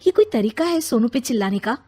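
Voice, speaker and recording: A woman voicing an exasperated, sharp-tongued young mother and wife in a story, scolding emphatically. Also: a little high, expressive, fast, breathy edge. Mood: reproachful.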